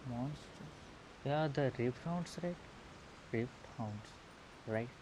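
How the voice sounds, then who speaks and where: A man giving casual gameplay commentary, thinking aloud haltingly, recorded on a murky earphone microphone. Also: mid-range voice, animated. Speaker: gaming video host. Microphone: earphone mic. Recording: hissy, murky earphone mic.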